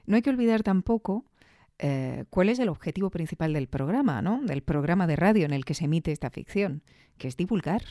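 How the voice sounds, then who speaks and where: A woman's voice: deep voice, dark tone, no room sound, studio quality. A woman with a Spain Spanish accent, hosting a show in a conversational, reflective, explanatory way. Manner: reflective, explanatory, with rhetorical questions. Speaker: audio fiction review show host. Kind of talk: conversational commentary.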